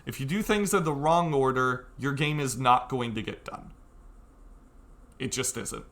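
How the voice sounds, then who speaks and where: A man's voice: mid-range voice, measured pace, fairly bright tone. Emphatic young man giving a tutorial. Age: young adult. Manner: emphatic, frank, conversational. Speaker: tutorial host. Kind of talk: informal tutorial talk to viewers.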